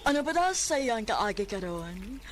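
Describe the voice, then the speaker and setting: A woman's voice: medium pitch, big pitch swings, moderate pace, over loud music. A woman with a Cebuano accent reading formal scripted narration as a radio drama announcer.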